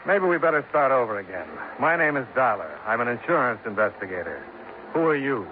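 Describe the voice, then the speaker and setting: An actor playing a cool, sardonic American insurance investigator, speaking in the dry, clipped style of 1950s hard-boiled radio drama. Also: male voice, medium pitch, sing-song, a little fast, slight background noise, close to an everyday voice. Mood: cool, curt. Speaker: lead and first-person narrator. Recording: tinny old radio recording with music.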